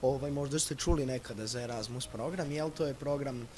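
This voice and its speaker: A man's voice: mid-range voice, fast talker, a little mellow, slight background noise. A young man with a Serbian accent hosting a radio interview in an unscripted, polite and hesitant way. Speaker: school radio host. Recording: music playing behind the voice.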